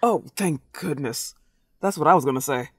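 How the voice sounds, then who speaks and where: A woman's voice: low voice, expressive, moderate pace, breathy edge, no room sound, exaggerated voice. Cocky, possessive werewolf girl voiced by a woman, close and dry. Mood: awkward. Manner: playful, taunting, swooping emphasis. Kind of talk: comedic roleplay audio drama.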